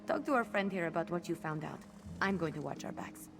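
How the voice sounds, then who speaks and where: A woman's voice: fairly low pitch, expressive, a little fast, gravelly, music in the background. A woman voicing a spirited, loyal and cheeky young outlaw companion in a video game, with a casual, wry delivery. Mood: brisk.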